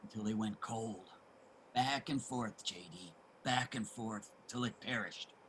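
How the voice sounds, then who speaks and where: An actor playing a bitter, wounded, gruff homeless man in a dramatic stage play reading. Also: on the low side, measured pace, fairly bright tone, slight background noise. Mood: grieving.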